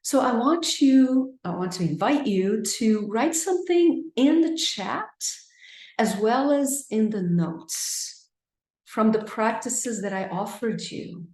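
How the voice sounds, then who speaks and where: A woman with a Brazilian accent leading a mindfulness class on a video call, gentle and affirming. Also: a little high, expressive, slow, echoey room, low-quality recording. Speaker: mindfulness teacher. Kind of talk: live online class, reading chat responses.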